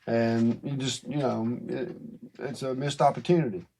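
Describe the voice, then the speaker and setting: A man's voice: mid-range voice, steady tone, normal speed, gravelly, darkish tone, a little hiss or hum. A man co-hosting a casual podcast, talking in a loose, easygoing, somewhat hesitant way. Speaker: podcast co-host.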